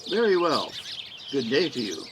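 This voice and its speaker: An actor playing a guarded, nervous saboteur in a radio play, speaking tersely. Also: male voice, medium pitch, big pitch swings, moderate pace, noisy background, natural voice. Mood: guarded, uneasy. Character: nervous, guarded male saboteur posing as cinema owner.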